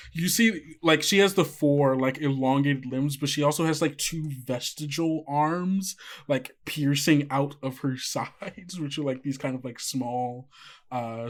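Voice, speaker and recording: A man working as game master, improvising descriptive narration in a casual way peppered with "like". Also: on the high side. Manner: descriptive, frequently hedging with "like".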